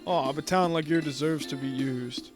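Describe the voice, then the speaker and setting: A man's voice: fairly high pitch, normal speed, loud music behind the voice, natural voice. A man voicing a peppy, eager teenage girl battle champion, reading her lines aloud in an upbeat, bragging way while playing a game.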